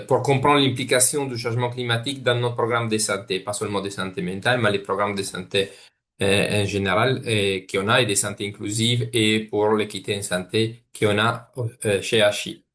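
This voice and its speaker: A man's voice: lowish voice, a bit bright. A man with an Italian accent, a native Italian speaker presenting as guest speaker on a video call, often searching for words and restarting phrases. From Italy. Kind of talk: webinar presentation.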